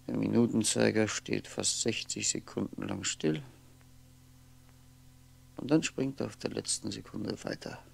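Lonely aging man, very gravelly, very slow, halting night-time brooding, old radio play. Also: fairly low pitch, full character voice. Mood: brooding, wry. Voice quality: gravelly, often sinking into breathy whisper. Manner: halting, brooding, fragmented musing with pauses. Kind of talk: 1960s radio drama monologue. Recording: 1960s radio recording, faint noise.

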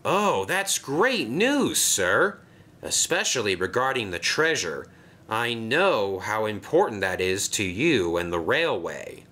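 A polite, anxious little steam engine, voiced by a man in a storybook reading. He talks slowly and hesitantly, with a bright, lively voice that swings widely in pitch. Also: heavily acted. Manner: hesitant, deferential.

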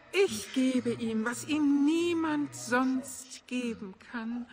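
A woman playing a seductive, possessive and self-assured female desire demon in a fantasy game, with silky, deliberate delivery. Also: fairly high pitch. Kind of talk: dubbed fantasy game acting.

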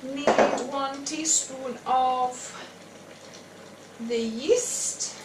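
A woman in her thirties talks through a kitchen demonstration in a casual, slow-paced way. The room rings noticeably around her voice, which sounds a little away from the microphone. She is the host, explaining each step as she goes. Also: high voice. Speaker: cooking show host. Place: indoor home kitchen. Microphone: clipped lapel microphone. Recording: echoey room, slightly distant, faint noise.

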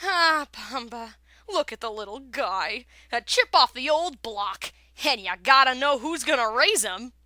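A woman puts on an excitable little male cartoon meerkat. He is brash and exasperated, high and bright, with a chummy wisecracking patter. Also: dry recording, clean studio sound. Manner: brash, fast-talking, exasperated.

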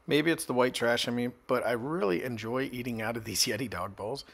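American guy doing a travel vlog, folksy and joking, medium pitch, noisy phone audio with car sounds. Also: fairly quick, fairly bright tone. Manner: laid-back, joking, folksy, swears casually. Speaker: travel vlogger. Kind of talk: casual vlog chat and narration. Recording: noisy phone audio with vehicle and outdoor noise.

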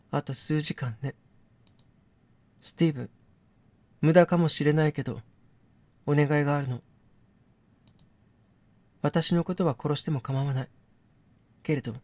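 A man's voice: a little high, normal speed, long pauses, a little mellow, no room sound, lightly acted. A man voicing a captive actress in a game, a composed, wistful woman with coy, teasing phrasing. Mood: pleading. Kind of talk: dramatic game dialogue reading.

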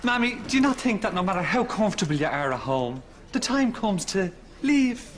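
A man's voice: high-pitched, very animated, fairly quick. A man playing a flustered, earnest, camp grown-up son with a Dublin accent in a television sitcom. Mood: coaxing. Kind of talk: studio sitcom acting.

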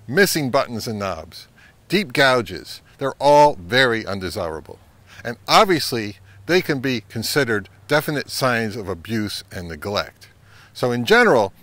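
A middle-aged man hosting an advice vlog, speaking to camera in an emphatic way with deliberate pauses. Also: mid-range voice, a bit bright. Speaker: host of an audio gear channel. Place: home listening room, later an open grassy field.

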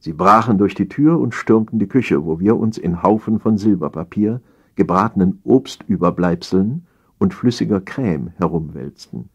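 Deep, husky male satire narrator. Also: expressive, moderate pace, muffled recording. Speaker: audiobook narrator.

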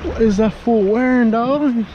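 A man with a high-pitched voice talks casually while fishing by the sea. His manner is slangy and grumbling. Clear wind and water noise runs behind him on an outdoor action-camera recording. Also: a little slow, dull audio. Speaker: fishing vlogger. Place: outdoors in a canoe and on shore at a coastal pass.

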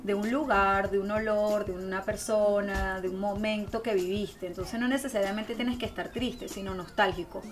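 A woman's voice: mid-range voice, steady tone, moderate pace, hardly any pauses. A woman with a Venezuelan accent chatting and telling stories expressively as a guest in a radio interview. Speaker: guest singer and songwriter. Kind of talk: casual radio interview storytelling. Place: radio studio. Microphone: close studio microphone with headphones.

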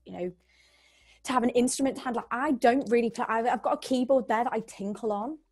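A woman's voice: fairly high pitch, a little fast, darkish tone. Young woman host, rambling questions. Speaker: interviewer. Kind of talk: casual video call interview. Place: home room indoors. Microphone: video call webcam.

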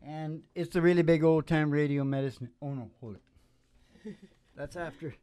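A middle-aged man hosting a music show with playful, unhurried, rambling stage patter full of joking asides. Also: fairly high pitch, mellow, clean tone, dry recording. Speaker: host of a music and comedy show. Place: small dim curtained stage room. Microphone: stand microphone. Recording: guitar playing behind the voice. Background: acoustic guitar.

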